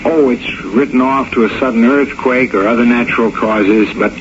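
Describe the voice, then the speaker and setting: An actor playing a grim, knowing, cynical confidant in a 1970s radio drama, blunt and sardonic, on a muffled, noisy old recording. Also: male voice, medium pitch, lightly acted. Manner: deliberate, sardonic, blunt delivery.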